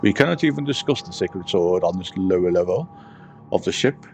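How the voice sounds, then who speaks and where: Guy doing a solemn preachy ship navigator from a game, measured and gravelly, music under it. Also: sits low, lively intonation, darkish tone. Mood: solemn, pious. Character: solemn, pious ship's navigator of a religious order.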